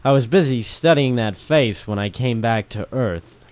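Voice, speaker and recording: A man's voice: mid-range voice, measured pace, a little mellow, no echo, natural voice. A man playing a world-weary, wisecracking private detective in an old-time radio drama style, with theatrical noir delivery. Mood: noir narration. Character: world-weary hardboiled male private detective narrator, ex-Marine. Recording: music behind the voice.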